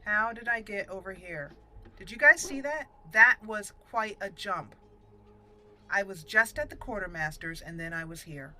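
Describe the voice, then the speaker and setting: A woman's voice: medium pitch, moderate pace, bright, forceful tone. A woman hosting a gaming channel, chatting casually and animatedly as she plays and thinks aloud. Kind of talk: casual game commentary.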